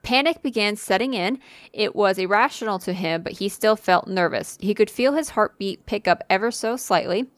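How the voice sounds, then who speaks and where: A woman's voice: mid-range voice, normal speed, no echo. Woman reading a spooky story aloud. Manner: reads with occasional fillers and asides. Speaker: podcast co-host reading listener stories. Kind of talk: casual storytelling read-aloud.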